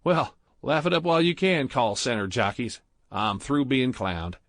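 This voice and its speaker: A man's voice: fairly low pitch, normal speed, fairly bright tone, dry recording. A man voicing a grumpy, miserable man in a sarcastic, whiny way. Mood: freaked out.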